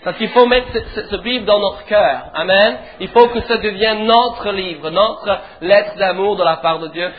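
A middle-aged man from England, a pastor preaching a sermon in French with an English accent, leading the congregation in animated declarations. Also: high-pitched, unhurried, hardly any pauses. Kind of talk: sermon in church. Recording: tinny phone-like sound with faint music.

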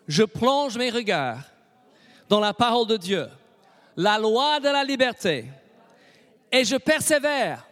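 A man's voice: high voice, a bit bright, big-room sound. A middle-aged British man, a native English speaker with an English accent, preaching a sermon and leading the congregation in call-and-response with frequent amens. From Britain. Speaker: pastor.

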